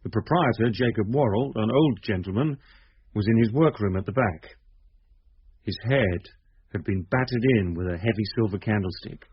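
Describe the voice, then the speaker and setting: Husky British police inspector, measured. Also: male voice, on the low side. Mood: grave, methodical. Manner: measured, authoritative, matter-of-fact. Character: calm, methodical, suspicious police detective inspector. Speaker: radio drama actor. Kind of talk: British radio drama acting. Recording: dull, lo-fi old radio recording.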